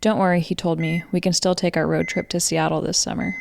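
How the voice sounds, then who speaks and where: An American woman in her twenties reads a scripted first-person story in a measured, reflective way, as a narrator. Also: fairly low pitch, level tone, gravelly, darkish tone, slight background noise. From Colorado, United States. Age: 20s. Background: music.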